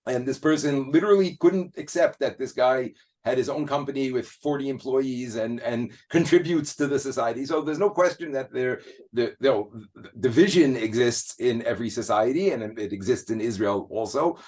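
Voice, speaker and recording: A man's voice: medium pitch, moderate pace, a little mellow. A man speaking as an interview guest in a casual, earnest way, stopping and restarting his sentences often. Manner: hesitant, many restarts and fillers, earnest.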